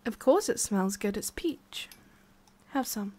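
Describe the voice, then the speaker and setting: A woman playing a haughty, prickly witch with a secret soft side in an ASMR roleplay, soft-spoken and halting, slipping into whispery breaths. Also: mid-range voice, animated, slightly rough. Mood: haughty, grudging.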